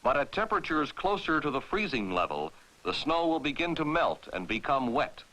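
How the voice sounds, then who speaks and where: Bright-voiced American male training film narrator. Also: a little high, sing-song, normal speed, poor audio. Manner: authoritative, clearly enunciated, formal. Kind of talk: scripted instructional narration, 1960s military training film. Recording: vintage 1960s film soundtrack.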